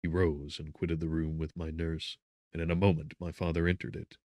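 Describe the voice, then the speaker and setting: A man's voice: a bass voice, level tone, normal speed, a little mellow, dry recording, studio quality. A man narrating a gothic audiobook in a solemn, theatrical manner. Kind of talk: dramatic scripted narration of gothic novel.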